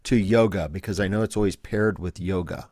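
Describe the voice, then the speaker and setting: A man's voice: low-pitched, fairly quick, darkish tone, dry recording, clean studio sound. A man hosting a spirituality podcast, reading his intro and then asking questions with frequent ums and uhs. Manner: hesitant, many ums and uhs. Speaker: podcast host. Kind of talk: podcast interview.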